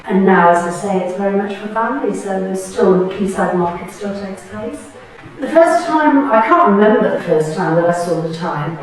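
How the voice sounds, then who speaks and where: A woman of about sixty from Tyneside, thoughtfully recalling her memories in an oral history interview, pausing and restarting as she goes. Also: mid-range voice, expressive. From Tyneside, England. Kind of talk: reflective personal reminiscence. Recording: very echoey room, distant mic.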